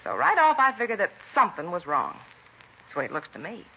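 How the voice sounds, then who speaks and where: An actress playing a nosy, pushy middle-aged neighbor in a 1950s radio crime drama, talking in a brassy busybody way. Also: female voice, medium pitch, wildly swooping pitch, fast talker, vocal fry. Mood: insistent. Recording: lo-fi vintage radio broadcast with faint noise.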